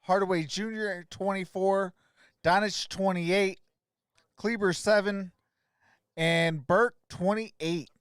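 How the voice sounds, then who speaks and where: A man's voice: high voice, steady tone, takes it slowly, a bit bright, smooth, dry recording, studio quality. A man doing casual play-by-play on a livestream, in short, clipped calls of the action. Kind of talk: casual stream commentary.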